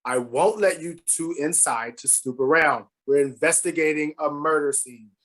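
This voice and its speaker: Man playing a higher-pitched, mean-spirited cop. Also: normal speed, fairly bright tone, close to an everyday voice. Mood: stern, irritated. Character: mean-spirited forty-year-old police officer. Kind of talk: animated comedy script table read.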